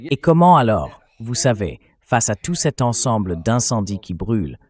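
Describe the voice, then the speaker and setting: Low-voiced male interview host. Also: very animated, normal speed. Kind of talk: dubbed translation of interview questioning.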